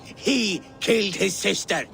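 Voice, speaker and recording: Proud warlock, sharp and slow. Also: male voice, high-pitched, creaky, soft background music, full character voice. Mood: bitter defence. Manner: measured, disdainful. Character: proud, scornful male warlock healer, bitter outcast. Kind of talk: video game character acting.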